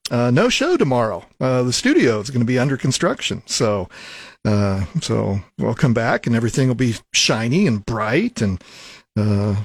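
Lively Midwestern radio host. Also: male voice, medium pitch, normal speed, slightly rough, dry recording. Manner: easygoing, many fillers and restarts. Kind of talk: casual radio talk. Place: radio studio. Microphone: studio microphone.

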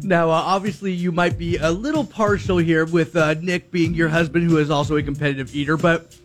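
A man's voice: fairly high pitch, steady tone, brisk, soft background music. A man co-hosting a radio interview who asks curious, conversational questions. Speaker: radio co-host.

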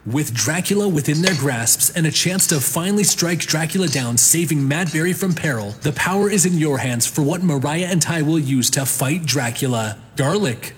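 A man's voice: on the high side, lively intonation, normal speed, soft background music. A man narrating a scripted radio drama in a mock-dramatic way.

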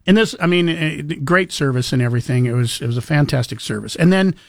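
A man's voice: on the high side, quick pace, vocal fry, no echo, clean studio sound. A man co-hosting a casual morning radio show, chatty and storytelling, laughing often. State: recovering from lost voice.

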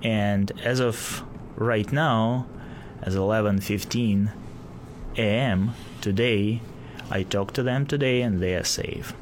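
A man in his thirties from Ukraine, speaking careful, halting English with a Ukrainian accent as a guest in a radio interview. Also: fairly low pitch, a little hiss or hum. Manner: halting, careful non-native English with pauses and restarts. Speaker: guest, police officer.

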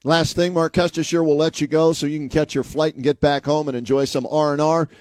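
A man with a slightly high voice hosts a sports radio interview. He asks his questions casually and chummily, in a dry studio-like sound with no echo. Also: flat delivery, a little fast, darkish tone.

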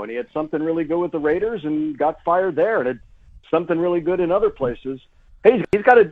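A narrow, phone-like connection carries a man's somewhat high voice. He is a sports broadcaster giving analysis as a guest on talk radio. His delivery is conversational and emphatic, often repeating a phrase to make the point. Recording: tinny, phone-like guest line.

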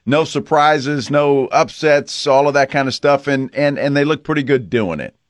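A man's voice: medium pitch, normal speed, no echo. A man co-hosting a sports radio show, chatting casually and putting questions to a guest.

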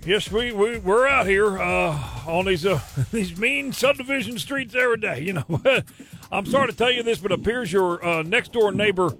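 A man playing a halting, self-important neighborhood watch captain in a prank phone call. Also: high voice, animated, fairly bright tone, soft background music. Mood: halting, polite.

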